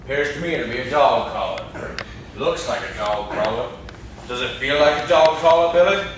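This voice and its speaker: A man's voice: medium pitch, expressive, moderate pace, natural voice. A young man playing a menacing, terse old country man in an amateur stage play, with a dry, taunting manner. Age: young adult. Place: classroom-like room, indoors. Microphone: far camera. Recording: echoey room, distant camera mic, clear noise.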